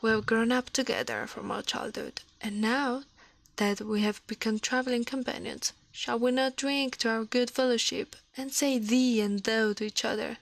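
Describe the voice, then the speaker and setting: A woman voicing a mild, earnest learned man in an ASMR storybook reading, gentle and kindly. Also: fairly high pitch, fairly even intonation, moderate pace, creaky, no room sound, rough recording. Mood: friendly.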